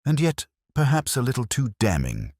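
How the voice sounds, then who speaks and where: A man voicing a coolly analytical, self-assured detective in a storybook mystery, speaking precisely and deliberately. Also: medium pitch, huge pitch swings, darkish tone, no echo, studio quality, pitched above the performer's own voice. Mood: probing, restrained.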